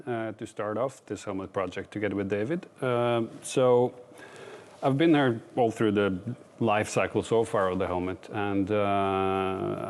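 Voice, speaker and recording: Lowish-voiced man on a panel. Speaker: project engineer, panelist. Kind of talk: informal self-introduction on a panel.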